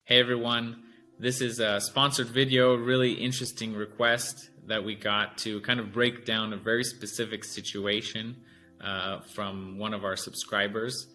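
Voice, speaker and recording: This is a man hosting a relaxed talk show. He has a fairly low but forceful, bright-edged voice. He works through his points loosely, often stopping to find the right word. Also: normal speed, faint music behind. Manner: loose, searching explanations with many restarts. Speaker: host. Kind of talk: casual podcast chat.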